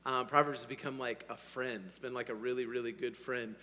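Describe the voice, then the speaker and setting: A man preaching a sermon to his congregation, hoarse, energetic, swinging between loud bursts and quiet asides and checking in with "all right?". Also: mid-range voice, brisk. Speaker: pastor. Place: church sanctuary. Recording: long hall reverb.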